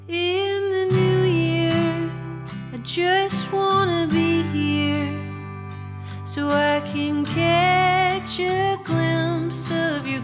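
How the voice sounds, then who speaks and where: Female church singer, high register, unhurried devotional delivery over prominent guitar. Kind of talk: devotional singing. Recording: prominent plucked guitar accompaniment. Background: guitar music.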